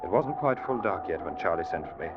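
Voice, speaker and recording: Uneasy seafaring officer, old radio drama, intense, widely varying pitch, muffled sound under music. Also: male voice, animated, fast, very noisy. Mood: brooding narration. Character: earnest, uneasy male ship's officer telling his tale. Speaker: actor and first-person narrator. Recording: muffled vintage radio recording, loud music.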